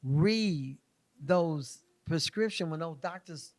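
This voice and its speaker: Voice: mid-range voice, expressive. A talk show host in casual conversation, curious and asking question after question. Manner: curious, asks many quick questions.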